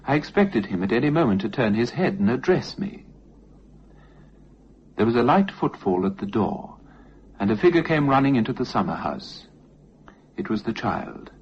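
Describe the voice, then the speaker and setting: Theatrical male storyteller with a sweeping, animated delivery. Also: fairly low pitch. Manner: theatrical, emphatic with deliberate pauses. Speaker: story narrator. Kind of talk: dramatic first-person ghost story narration. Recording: dull lo-fi recording with faint noise.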